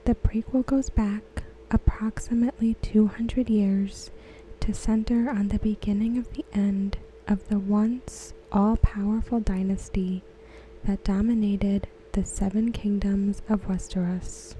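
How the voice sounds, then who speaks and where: A woman host reading aloud in a soft, breathy near-whisper for ASMR, gentle, unhurried and soothing, close to the microphone. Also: medium pitch, fairly even intonation, slight background noise, low-quality recording.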